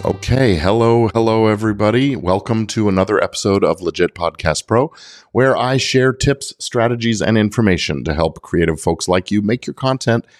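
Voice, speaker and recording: A man's voice: on the low side, normal speed, dry recording, clean studio sound, soft background music. A middle-aged man hosting a podcast, explaining things step by step to listeners with emphasis on key words. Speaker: podcast host; lawyer.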